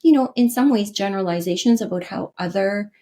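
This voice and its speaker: A woman's voice: mid-range voice, fairly quick, dark tone. A Canadian woman, a guest in an interview, talking earnestly and often checking in with "right?". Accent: Canadian English. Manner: earnest, frequent "right?" tags and restarts. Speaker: podcast guest, leadership consultant. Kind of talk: interview conversation.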